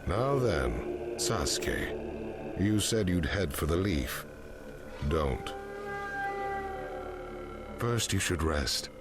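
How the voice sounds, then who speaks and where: Very deep, measured anime villain. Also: male voice, very animated, full character voice. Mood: coldly commanding. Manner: measured, cold, authoritative. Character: calm, sinister, commanding masked villain. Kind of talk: English anime game voice acting. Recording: loud music over voice.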